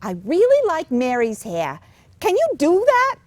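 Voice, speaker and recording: A woman's voice: extremely high pitch, huge pitch swings, unhurried, no echo, full character voice. A woman in a staged play reading, playing a simple, earnest, plain-spoken housewife and mother. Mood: eager. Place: children's theatre stage, filmed staged reading.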